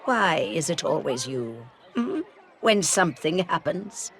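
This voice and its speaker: An actress playing a brisk, stern older British professor with a clipped schoolmistress manner. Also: female voice, sits low, sing-song, full character voice. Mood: brisk, concerned. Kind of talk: video game character acting.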